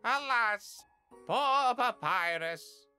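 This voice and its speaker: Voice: mid-range voice, lively intonation, drawn-out words, sharp tone, clear, resonant tone, soft background music, full character voice. A performer voicing a cheerful, theatrical skeleton monster in a comic dub, earnest and breezily nonchalant. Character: cheerful, self-centered, theatrical simple-hearted skeleton monster. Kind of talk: comic dub character acting.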